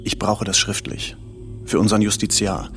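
A man's voice: fairly low pitch, little pitch movement, natural voice. Actor as sober, insistent male boss over music. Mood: formal, pressing. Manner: measured, formal, insistent. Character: sober, formal male television boss confronting an employee. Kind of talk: radio play dialogue.

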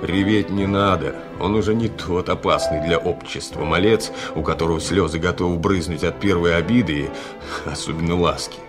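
Guy narrating old radio play, very deep voice, dramatic reading, music behind. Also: moderate pace.

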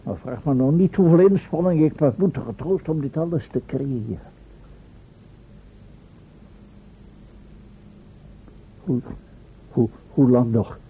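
An actor playing an anxious, exacting professor and inventor in a radio play, speaking formally, impatiently and dramatically. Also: male voice, medium pitch, normal speed, slightly rough. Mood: earnest. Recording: old, muffled thin radio recording with noise.

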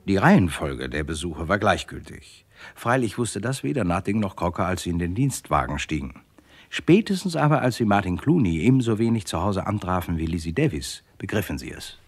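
Deep-voiced, wry crime narrator. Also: male voice, big pitch swings, brisk, slightly rough, exaggerated voice. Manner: wry, digressive, addressing listeners. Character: wry, digressive storyteller, a writer recounting a murder case. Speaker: first-person narrator. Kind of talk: literary scripted narration in radio crime drama.

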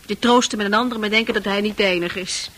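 Actress as a bright-voiced, worldly, coaxing woman. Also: medium pitch, fairly even intonation, fast, lightly acted. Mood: consoling. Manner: chatty, coaxing, knowing. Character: worldly, coaxing woman of easy virtue, businesslike underneath. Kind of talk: Dutch radio play acting. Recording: radio play with music behind.

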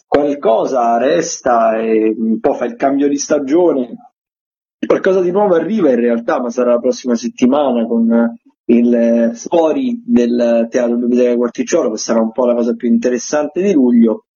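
A man's voice: medium pitch, moderate pace, dark tone, lo-fi sound. A man giving an informal radio rundown of events as a theatre critic, hesitant and often repeating phrases.

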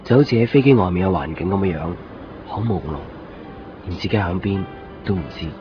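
A man's voice: low voice, animated, fairly quick, music in the background. A man acting an earnest, uncertain young lovesick man in a 1990s Cantonese radio drama, speaking hesitantly with a Hong Kong accent. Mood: pensive, uneasy. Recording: dull lo-fi radio recording.

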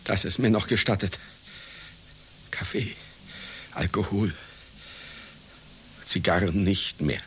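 A man's voice: deep voice, slow pace, long pauses, a bit bright, slight background noise, heavily acted. An actor in a radio satire playing a vain, melancholy, ailing clown in his seventies, speaking in pompous, plaintive pronouncements. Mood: plaintive, weary. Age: 70s. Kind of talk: satirical radio play acting.

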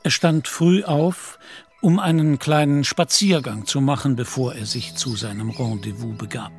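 A man's voice: medium pitch, unhurried. Male literary narrator over music.

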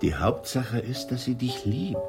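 An actor playing an older, insistent uncle haunted by war memories in a radio drama. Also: male voice, mid-range voice, very animated, measured pace, creaky. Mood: haunted, pleading. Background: prominent music.

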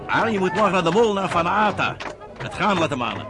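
A man's voice: sits high, lively intonation, fast talker, music in the background. An actor playing a stern, proud farmer father in a Dutch radio drama, speaking in an emphatic, commanding way. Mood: jovial. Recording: noisy old radio play recording.

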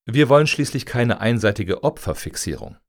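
A man's voice: medium pitch, animated, moderate pace, lightly acted. A man playing a combative, self-pitying activist for offender boxing in a satirical radio play, arguing provocatively. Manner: argumentative, provocative.

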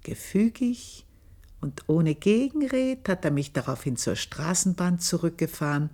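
An Austrian actress playing a proud, jealous village-born maidservant, telling her story in a confiding, earthy radio monologue with drawn-out emphasis. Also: on the low side, lively intonation, mellow. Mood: yearning, confiding. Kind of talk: dramatic first-person radio monologue, literary storytelling.

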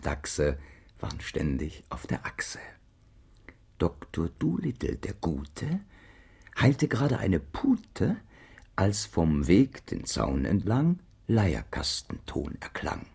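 Deep, gravelly storyteller. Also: male voice, a little mellow, heavily acted. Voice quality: gravelly, husky. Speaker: narrator. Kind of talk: rhymed children's story narration.

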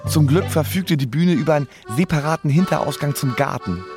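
A man's voice: a little high, fairly quick, over music. A man narrating his own story in a comic radio play with wry, literary phrasing. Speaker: first-person narrator.

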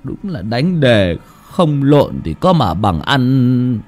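A man's voice: mid-range voice, big pitch swings, a little mellow, soft background music. A man doing a slurring drunk village man. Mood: drunken. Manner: slurred, slow, mumbling.

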